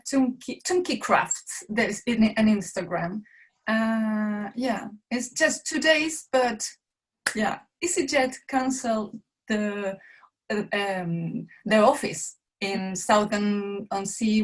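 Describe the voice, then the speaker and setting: Slow-speaking woman. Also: mid-range voice. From Chile. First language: Spanish. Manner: halting, searching for words in a second language. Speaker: guest. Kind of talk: casual interview chat.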